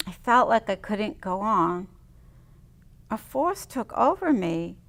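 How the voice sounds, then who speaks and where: A woman reading her own personal writing aloud and chatting about it in a reflective, unhurried way. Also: medium pitch, creaky, fairly bright tone. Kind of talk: spoken reading of personal memoir and reflective chat.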